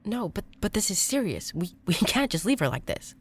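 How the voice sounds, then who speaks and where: An actress playing an earnest young female exorcist in an audio drama, halting and emotional. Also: mid-range voice, big pitch swings, breathy edge. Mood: pleading. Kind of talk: intimate audio drama acting.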